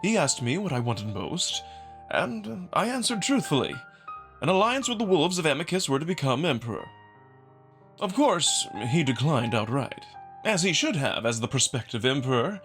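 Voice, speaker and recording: A man voicing a genteel, scheming male jackal noble in a visual novel, with a high, soft voice and formal, measured diction. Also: very animated, faint music behind. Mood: candid, suave. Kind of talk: visual novel dramatic reading.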